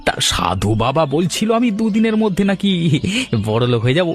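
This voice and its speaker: A man voicing an excitable, gullible poor villager in a cartoon, prone to stammering. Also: a little high, a little fast, faint music behind. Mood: boastful excitement. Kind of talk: cartoon story acting and narrating.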